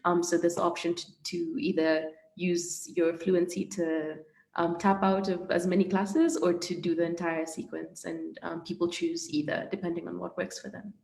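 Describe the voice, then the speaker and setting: A young woman, a native Swahili speaker, answering student questions in a friendly, thoughtful way with many pauses. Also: on the low side, level tone, creaky, a little mellow. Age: young adult. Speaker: student panelist. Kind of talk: casual Q&A answers. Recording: echoey room.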